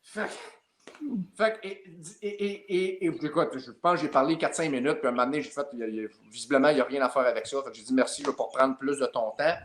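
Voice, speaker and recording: Bright animated Québécois man telling a story. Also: medium pitch, fast talker, slight background noise. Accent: Québécois French. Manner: lively, builds up his story with repeated tags. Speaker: sports journalist guest. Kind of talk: animated storytelling in a podcast chat.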